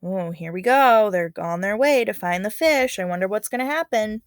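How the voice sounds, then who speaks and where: A woman's voice: medium pitch, lively intonation, moderate pace, no echo, clean studio sound. A woman reading a story aloud as a teacher, expressively, with casual, encouraging comments along the way.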